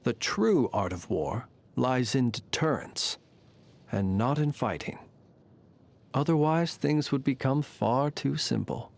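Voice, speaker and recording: A man voicing a courteous, enigmatic gentleman soldier in a video game, with a genteel, measured, formal and wry delivery. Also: mid-range voice, animated, creaky. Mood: formal, composed. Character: courteous, enigmatic gentleman soldier who is secretly not human. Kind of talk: video game character voice acting.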